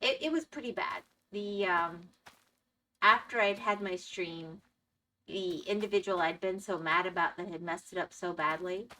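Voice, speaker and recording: A woman's voice: on the low side, sharp tone, poor audio. A woman streaming a game and chatting casually with her viewers while losing her voice. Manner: chatty, reads and answers chat. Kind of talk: casual live-stream chat.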